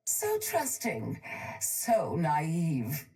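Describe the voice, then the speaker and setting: An actress playing a cold, posh, ruthless crime-boss tycoon in a video game, speaking in a haughty, deliberate, menacing way. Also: female voice, low voice, wildly swooping pitch, a little mellow, exaggerated voice, low-quality recording. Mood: smug, calculating. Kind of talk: video game character voice lines.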